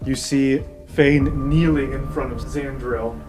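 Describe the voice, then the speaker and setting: A man narrating an improvised tabletop fantasy game as game master, in an excitable, dramatic way. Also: medium pitch, slow. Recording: roomy echo, distant, background music.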